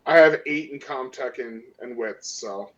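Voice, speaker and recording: A man's voice: mid-range voice, unhurried, a bit bright. A man playing a tabletop role-playing game with casual banter, heard on dull lo-fi audio.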